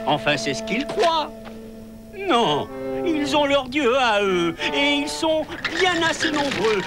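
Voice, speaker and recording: An actor voicing a cranky, stubborn, self-righteous prophet in a cartoon, grumbling and protesting. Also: male voice, very high voice, heavily acted. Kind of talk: animated cartoon dubbing. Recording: loud music behind the voice.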